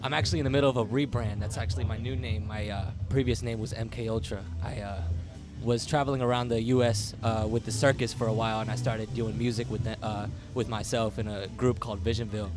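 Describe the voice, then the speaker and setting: Male guest, dry joking tone, hesitant delivery, loud event music behind. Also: fairly low pitch, steady tone, quick pace. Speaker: guest rapper. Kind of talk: casual chat.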